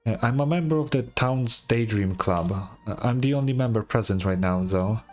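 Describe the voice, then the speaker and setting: A man's voice: mid-range voice, moderate pace, a little mellow, lightly acted. A man voicing a polite, slightly flustered townsperson in a fantasy game, friendly and questioning. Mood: curious. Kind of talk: game dialogue read in character.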